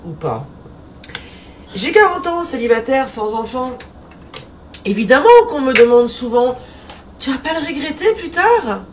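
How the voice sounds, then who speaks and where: A woman's voice: high-pitched, sing-song, unhurried, pauses often, far from the mic, a little hiss or hum. A woman in her forties talking in a playful, chatty way, repeating a refrain and laughing often. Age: 40s. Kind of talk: casual monologue.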